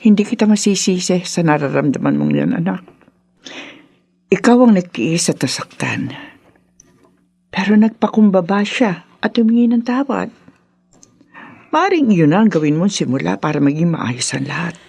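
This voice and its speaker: A woman's voice: fairly low pitch, animated, normal speed, vocal fry, pauses often, darkish tone, husky, exaggerated voice. An actress playing a wise older mother in a Filipino radio drama, consoling earnestly and soothingly with a Filipino accent.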